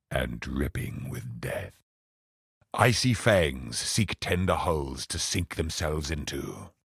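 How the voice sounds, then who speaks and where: An actor playing a grandiose, brooding godlike cosmic villain in a video game, speaking in solemn, pompous, poetic monologue with a gravelly, husky voice. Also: male voice, very deep voice, no room sound, studio quality, exaggerated voice. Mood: somber, contemptuous.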